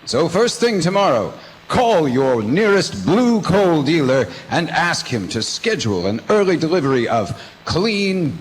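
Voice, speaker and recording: A man as an earnest old-time radio pitchman, persuasive and instructive. His delivery is lively in pitch, on a reverberant recording with faint noise. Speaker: commercial announcer. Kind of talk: 1940s radio advertising.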